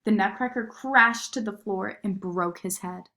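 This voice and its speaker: A woman narrating a children's story in an expressive read-aloud style. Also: mid-range voice, measured pace.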